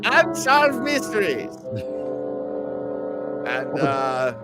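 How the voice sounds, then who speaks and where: A man hosts with casual, joking commentary. His voice is a little high and very animated. Music plays prominently behind him. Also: extremely slow. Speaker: show host.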